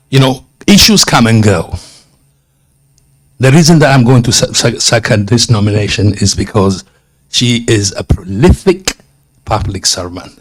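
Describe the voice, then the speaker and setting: A man's voice: fairly low pitch, breathy edge, no room sound. Earnest Ethiopian-accented man, very close dry sound. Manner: earnest, emphatic, halting oratory with pauses. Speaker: community member seconding a nomination. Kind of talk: public speech at a civic meeting.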